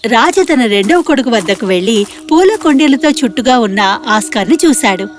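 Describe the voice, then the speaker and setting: A woman's voice: fairly high pitch, lively intonation, normal speed, faint music behind. A woman narrating a scripted children's fairy tale as the storyteller.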